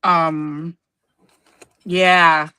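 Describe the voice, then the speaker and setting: A woman's voice: sits low, level tone, drawn-out words, sharp tone, clear, resonant tone, no room sound. A woman whose first language is Spanish, co-hosting a scrapbooking livestream in casual chat, often stopping to search for words and correct herself. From United States. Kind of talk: casual chat while crafting.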